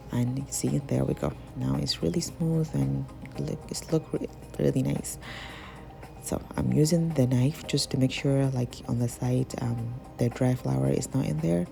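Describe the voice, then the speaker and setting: A young woman with a slightly husky voice, chatting casually to viewers while cooking in her home kitchen. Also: low-pitched, dark tone. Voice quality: husky, sounds slightly sick. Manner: chatty, giggly, talks to viewers as guys. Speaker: cooking channel host. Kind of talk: casual cooking vlog voice-over.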